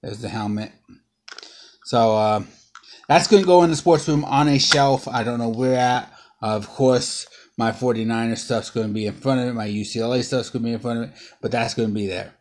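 A man in his thirties, bruised and sore after a fall, rambling through a casual haul vlog at home with plenty of ums and uhs, recorded by a close low-angle camera. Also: a little fast, a little mellow. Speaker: vlogger. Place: living room at home.

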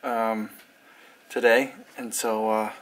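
A man's voice: on the low side, little pitch movement, takes it slowly. A man in his thirties chatting casually to a handheld action camera, tired and rambling with fillers. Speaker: vlogger. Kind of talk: casual vlog chat. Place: indoors, store and then home. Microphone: action camera held at arm's length.

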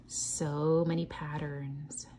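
A woman's voice: low voice, flat delivery, drawn-out words, warm tone, a little hiss or hum. A woman teacher leading a children's read-along lesson, encouraging, asking questions and chanting patterns aloud. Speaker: teacher reading a picture book to children. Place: indoors at a table.

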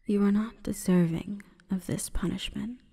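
A woman voicing an imperious, calm forest goddess in a breathy, whispering ASMR roleplay, with stately, measured delivery. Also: medium pitch. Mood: softer, regretful. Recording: long reverberant echo.

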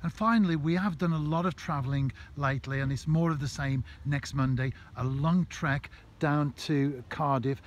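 Male club interviewer, brisk questions, outdoors, faint background noise. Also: a little high. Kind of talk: post-match interview questions.

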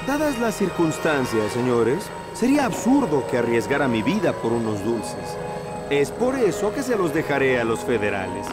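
Man playing a cocky, lively young hero. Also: mid-range voice, normal speed, loud music behind the voice, natural voice. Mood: smug teasing. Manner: breezy, teasing, self-assured. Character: cocky, suave young male masked hero and racer. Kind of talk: animated cartoon dubbing.

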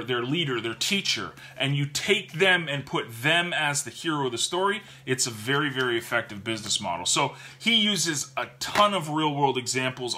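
Thirties man, bright and forceful tone, chatty vlog reviewer who restarts and repeats words. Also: mid-range voice. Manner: animated, gestures a lot, repeats words while thinking. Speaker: book reviewer. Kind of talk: casual book review vlog. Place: home office with bookshelves, indoors. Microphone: webcam at desk.

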